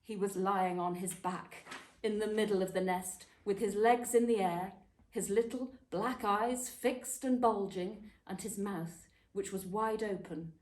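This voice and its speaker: A woman reading a children's book aloud as a storyteller, expressively and dramatically, with emphatic pauses and stressed words. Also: mid-range voice, steady tone, a little mellow. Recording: echoey room.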